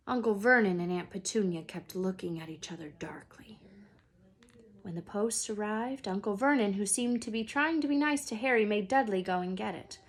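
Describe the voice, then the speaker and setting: A woman reading a children's book aloud as a storyteller, dramatic and expressive, sometimes hushed for suspense. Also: mid-range voice. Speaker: read-aloud storyteller, teacher.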